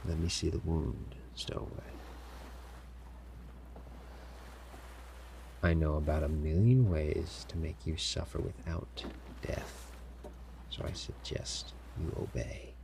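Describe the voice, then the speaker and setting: A man's voice: extremely low pitch, gravelly, a little mellow, heavily acted. A man playing a stern, menacing pirate captain who grudgingly cares, in a commanding audio roleplay with noisy ambience behind him. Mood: stern, threatening. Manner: slow, commanding, with sighs and feigned indifference. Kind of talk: audio roleplay acting, addressing a captive listener.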